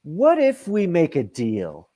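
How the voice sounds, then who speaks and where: A man's voice: a little high, huge pitch swings, takes it slowly, dark tone, no echo, heavily acted. A middle-aged man playing a confident camper hero in an audio drama, with an emphatic manner. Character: confident male camper hero striking deals. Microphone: video call.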